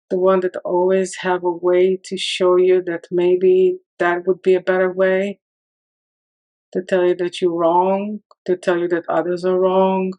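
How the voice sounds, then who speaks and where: A woman leading a guided meditation in a calm, soothing manner, leaving long pauses between short phrases. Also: on the low side, rough recording.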